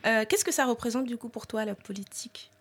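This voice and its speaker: A woman's voice: on the high side, brisk, breathy edge. A woman hosting a podcast, introducing her guest and putting questions in a conversational interview style.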